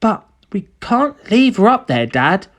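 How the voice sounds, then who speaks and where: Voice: medium pitch, big pitch swings, measured pace, voice pushed up. A narrator voicing an earnest, questioning young boy in a storybook. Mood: concerned.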